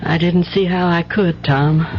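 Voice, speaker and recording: An actress playing a weary, hurt but yielding wife and mother in old-time radio drama style, speaking hesitantly and with measured reproach. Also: fairly low pitch, steady tone, faint music behind, natural voice. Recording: muffled old radio broadcast with hum.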